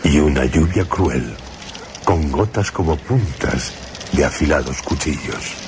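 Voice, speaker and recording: Very deep, slow male host with a theatrical radio-drama delivery; clear noise under a broadcast recording. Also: animated. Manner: measured, theatrical delivery with long pauses.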